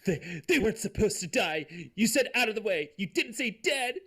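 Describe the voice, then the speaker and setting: A man's voice: high-pitched, sing-song, moderate pace, fairly bright tone, breathy edge, heavily acted. A man playing a sulky, brooding young male traitor with campy melodrama in a comic script read. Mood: sulky, defiant.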